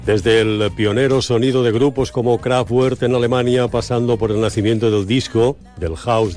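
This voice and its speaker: A man's voice: mid-range voice, darkish tone. A man hosting a radio show, reading a scripted intro and then chatting and joking with his guest. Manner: smooth, teasing, jokey with guest. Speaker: radio host. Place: radio studio. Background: faint music.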